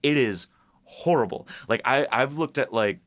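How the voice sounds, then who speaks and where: A man's voice: mid-range voice, lively intonation, normal speed, fairly bright tone, no echo. A man talking casually and enthusiastically as a host and writer in a behind-the-scenes chat. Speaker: podcast host and episode writer.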